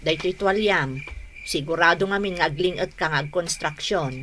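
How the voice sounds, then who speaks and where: Woman acting a bright, brisk Ilocano wife. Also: lowish voice, a little hiss or hum, close to an everyday voice. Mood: weary, firm. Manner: bossy, nagging, practical. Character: brisk, scolding, caring wife and mother. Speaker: radio drama actor. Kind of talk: Ilocano radio comedy drama acting.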